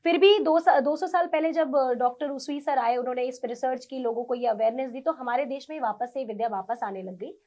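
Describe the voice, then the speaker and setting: A woman's voice: high-pitched, a little fast, few pauses, a little mellow. A woman speaking as an interview guest, explaining earnestly and instructively, mixing in English words. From India. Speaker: interview guest, tarot reader and Reiki practitioner; government school teacher. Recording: dull lo-fi sound.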